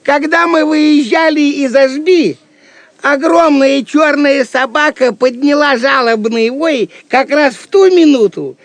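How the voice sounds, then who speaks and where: An actor playing a cheeky, superstitious court jester with mock-solemn clowning in an audio drama. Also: male voice, very high voice, a little slow, no room sound. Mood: sly, wry. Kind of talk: theatrical audio drama acting.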